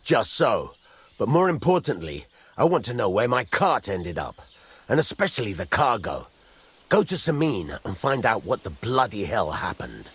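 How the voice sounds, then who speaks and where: Husky, gruff blacksmith. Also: male voice, on the low side, big pitch swings, normal speed, fairly bright tone, heavily acted. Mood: businesslike. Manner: blunt, earthy. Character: gruff, plain-spoken medieval blacksmith boss. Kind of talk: video game acting.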